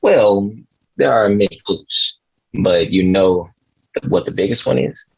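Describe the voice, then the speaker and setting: An awkward, earnest driver played by a man with a lowish, husky voice. He explains things gently and haltingly, as in a film script reading. The audio is a little dull. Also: expressive, exaggerated voice. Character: awkward, earnest male driver Chaz, kindly conspiracy believer. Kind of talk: table read of a film script. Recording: dull, slightly muffled audio.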